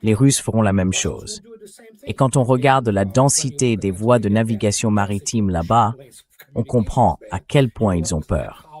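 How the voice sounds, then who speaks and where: A man's voice: on the low side, unhurried, darkish tone. A man reading a translated interview as a guest commentator, speaking bluntly and emphatically about military affairs.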